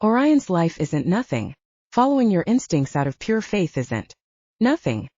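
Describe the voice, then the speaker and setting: A woman reading the part of a calm, measured middle-aged man, a father and leader, in an audiobook, gently serious in manner. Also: lowish voice, dry recording, exaggerated voice. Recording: slightly dull lo-fi audio.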